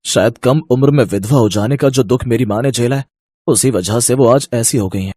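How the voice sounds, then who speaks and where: A caring young husband in a cartoon moral story played by a man. He is earnest and firm as he explains himself. His voice sits at a middling male pitch in a dry recording. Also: a little fast, darkish tone, slightly rough, no echo, lightly acted. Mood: concerned, resigned. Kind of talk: Hindi animated moral-story voice acting.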